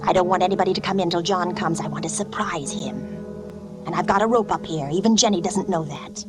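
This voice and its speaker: An actress playing a secretive wife descending into madness, intense and theatrical, confiding to herself as in a suspense radio drama. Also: female voice, on the low side, brisk, close to an everyday voice. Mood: suspicious, secretive. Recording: radio drama with loud music behind.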